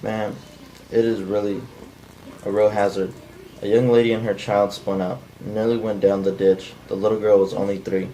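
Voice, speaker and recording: A man playing a polite but pushy young utility worker, reading flatly from a script as a non-actor. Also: on the low side, moderate pace, natural voice. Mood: insistent. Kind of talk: flat cold read of a script by a non-actor. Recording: noisy amateur room recording.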